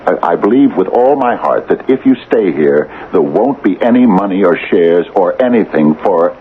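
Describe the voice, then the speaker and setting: Deep, halting, pleading small-town man. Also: animated. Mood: fearful, pleading. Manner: halting, stammering, earnest. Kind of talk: old-time radio drama acting. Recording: dull, hissy vintage radio recording.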